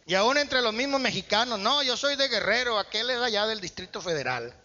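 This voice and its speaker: A man's voice: high-pitched, lively intonation, brisk, bright, forceful tone. A man preaching a sermon in a church hall, with emphatic rising bursts. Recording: muffled church hall echo.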